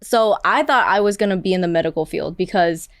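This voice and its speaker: Chatty Vietnamese-born woman chef, smooth voice. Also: on the low side, fairly quick, no room sound, clean studio sound. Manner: chatty, many "like" fillers. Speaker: chef guest. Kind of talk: casual interview chat.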